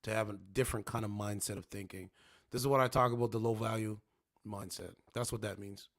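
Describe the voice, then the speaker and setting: A man's voice: fairly low pitch, fairly even intonation, fairly quick, a bit bright. Young male streamer, loose and slangy, preachy, repeats his points, casual chat tone. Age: 20s. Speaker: livestream host.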